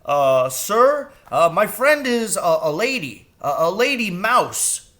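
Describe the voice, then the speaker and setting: Man playing a jittery crow, storybook character, raised pitch, bright tone, stammering, deferential. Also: big pitch swings, takes it slowly, exaggerated voice. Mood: nervous, deferential. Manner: stammering, polite, hesitant. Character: nervous, deferential, eager young male crow.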